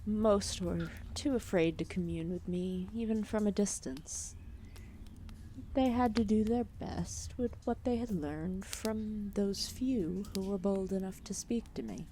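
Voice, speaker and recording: A woman voicing an ancient, lethargic serpent goddess, protective and calmly menacing, in a formal, soothing, intimate ASMR roleplay with whispered phrases. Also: mid-range voice, unhurried, slight background noise, natural voice. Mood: reflective, admiring. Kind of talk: ASMR roleplay, intimate soft-spoken acting.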